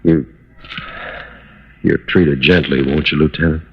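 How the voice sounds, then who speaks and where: An actor in a 1950s radio drama playing a weary, heartbroken husband who speaks in a halting, resigned, wistful way. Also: male voice, a bass voice, fairly even intonation, breathy edge, heavily acted. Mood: weary, pleading. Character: weary, heartbroken husband confessing to covering for his firebug wife. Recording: dull, noisy old radio recording.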